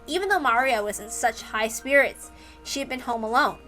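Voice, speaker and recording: Female story narrator: bright, higher-pitched, expressive read-aloud. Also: brisk, faint music behind, rough recording. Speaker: reader and narrator.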